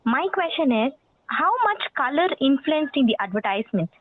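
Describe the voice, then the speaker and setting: A woman's voice: sits high, sing-song, normal speed. A woman with an Indian accent formally putting a question at an online seminar. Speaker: assistant professor asking a question. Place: online call. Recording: tinny phone-like call audio.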